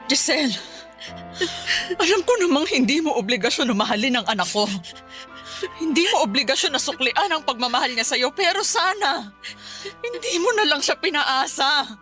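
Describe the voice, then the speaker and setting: A woman's voice: high-pitched, animated, moderate pace, sharp tone, soft background music. A woman with a Filipino accent playing a bitter, grief-stricken middle-aged mother in a tearful, raw radio drama style. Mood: angry, anguished. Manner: tearful, emotionally raw delivery. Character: grief-stricken, bitter mother mourning her young son.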